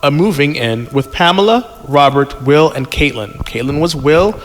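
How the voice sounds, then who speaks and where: A man hosting a podcast, reading a story aloud haltingly with casual asides and chuckles. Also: on the high side, animated. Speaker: podcast host and reader. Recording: music playing behind the voice. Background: prominent background music.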